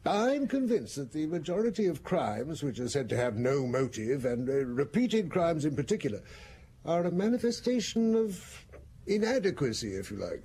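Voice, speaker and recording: Brief: a mellow, reflective psychiatrist professor, lively inflection, faint hiss. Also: male voice, on the high side, normal speed. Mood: analytical. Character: thoughtful male psychiatrist professor, middle-aged. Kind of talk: radio drama acting.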